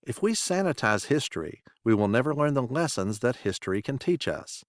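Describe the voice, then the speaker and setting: A man reading a scripted podcast introduction as host, in a measured, formal announcing manner. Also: mid-range voice, very animated, dry recording, clean studio sound.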